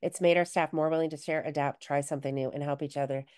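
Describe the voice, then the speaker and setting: Webinar cohost lady, deep smooth voice, reads the chat out, upbeat. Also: fairly even intonation, quick pace, darkish tone. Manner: encouraging, reads chat comments aloud. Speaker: webinar co-host. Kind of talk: conversational webinar discussion.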